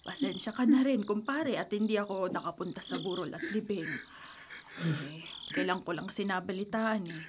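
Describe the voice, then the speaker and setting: A woman's voice: medium pitch, moderate pace, heavy background noise, natural voice. A woman playing a soothing village friend. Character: caring middle-aged woman friend, neighbor. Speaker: radio drama actor. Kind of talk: radio drama acting. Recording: music playing underneath.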